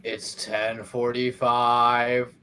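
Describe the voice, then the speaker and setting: A man runs a tabletop game, narrating scenes and teasing his players as he steers them back on track. His voice is bright and forceful. A faint hiss of noise sits behind him. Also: mid-range voice, completely flat delivery, drawn-out words. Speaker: game master.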